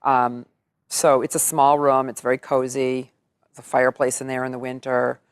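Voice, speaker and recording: A woman's voice: rumbling low, level tone, moderate pace, clean tone, no room sound, studio quality. A middle-aged woman, chatting upbeat about her work as an entertainer in an interview. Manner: chatty, upbeat, laughs easily. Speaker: entertainer and singer. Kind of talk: casual interview chat.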